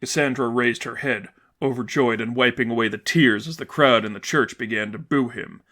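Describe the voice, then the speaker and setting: A man reading a story aloud as dramatic narration, in a measured, earnest, suspenseful manner. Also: mid-range voice, a bit bright. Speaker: narrator reading fan fiction.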